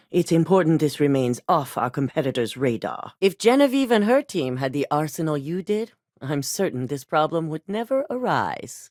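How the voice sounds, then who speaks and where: A woman's voice: low-pitched, dry recording, clean studio sound. An actress playing a cold, commanding female corporate executive in a video game, speaking in a curt, businesslike way.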